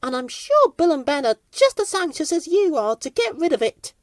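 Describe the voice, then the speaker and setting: A man's voice: very high voice, very animated, normal speed, no echo, studio quality, voice pushed up. A man voicing a prim, kindly, sensible female garden weed in a children's story. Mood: concerned.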